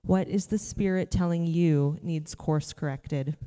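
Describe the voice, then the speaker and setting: A woman leading a church group through a guided meditation, speaking in an earnest, gentle, heartfelt way. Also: on the low side, steady tone, measured pace, big-room sound. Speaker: worship leader.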